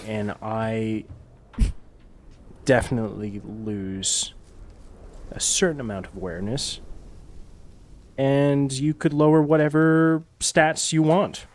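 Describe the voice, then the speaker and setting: A man's voice: medium pitch, steady tone, slow, long pauses. A man chatting casually as a player in a tabletop role-playing game. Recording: faint music behind the voice. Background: faint background music.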